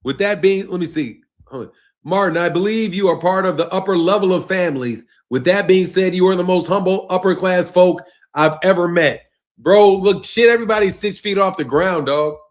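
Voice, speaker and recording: A fairly high-pitched man. He leans hard on "bro" and "man," and his voice sinks low and quiet as each phrase trails off. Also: normal speed. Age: 50s. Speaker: boxing channel host. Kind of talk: casual live-stream rant reading chat.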